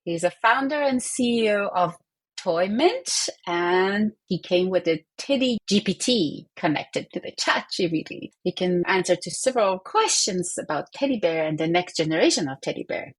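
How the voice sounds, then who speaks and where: A woman's voice: lowish voice, expressive, normal speed, breathy edge. A woman co-hosting a podcast, enthusiastic and eager as she introduces the guest. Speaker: co-host.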